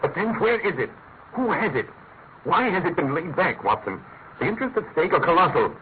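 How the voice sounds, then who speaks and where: An actor playing an incisive, commanding British detective in a classic radio mystery, speaking in an emphatic, articulate way. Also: male voice, a little high, big pitch swings, brisk. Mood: intense, preoccupied. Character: incisive male detective, brisk, commanding, theatrically analytical. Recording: tinny, noisy old radio recording.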